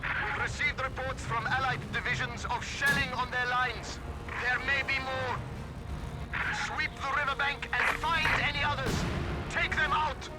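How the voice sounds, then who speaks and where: Very high-pitched, fiery Soviet commander. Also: male voice, steady tone, unhurried, over loud music, poor audio. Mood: urgent, authoritative. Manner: declamatory, intense. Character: fiery, zealous Soviet commander rallying troops. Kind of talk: battle orders and speeches in a war video game. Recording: loud battle noise, gunfire, artillery.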